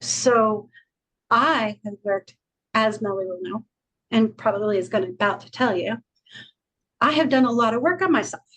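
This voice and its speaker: A woman's voice: on the high side, normal speed, long pauses, fairly bright tone, breathy edge, poor audio. A woman co-hosting a podcast, talking frankly and earnestly in casual chat and laughing easily. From United States. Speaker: podcast co-host.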